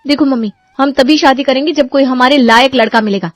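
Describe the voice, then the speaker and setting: A woman's voice: high voice, a little fast, no echo, faint music behind, lightly acted. A woman voicing a picky, haughty young unmarried daughter in a cartoon story. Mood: dismissive, picky. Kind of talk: Hindi cartoon story voice acting.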